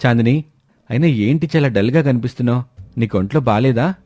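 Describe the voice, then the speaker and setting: A man's voice: medium pitch, a little fast, dark tone, no room sound, studio quality, close to an everyday voice. A man voicing a dutiful, thrifty young husband in a cartoon story, earnest and firm. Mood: concerned.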